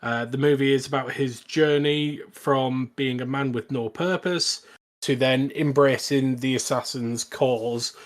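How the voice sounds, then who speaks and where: A man's voice: medium pitch, steady tone, unhurried. A man hosting a podcast, chatting casually as he reviews films. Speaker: podcast host.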